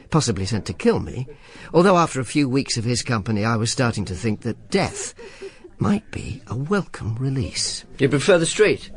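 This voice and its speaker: A man plays a world-weary Roman informer in a radio drama. His mid-pitched voice moves in very lively swings. He is sardonic and grumpy, with a dry wit. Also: natural voice. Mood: irritable. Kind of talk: radio drama narration and dialogue.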